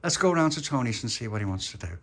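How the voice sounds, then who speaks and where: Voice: medium pitch, expressive, fast talker, lightly acted. A storyteller voicing a cheeky, protective little boy who leads his friends. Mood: cheeky, bossy.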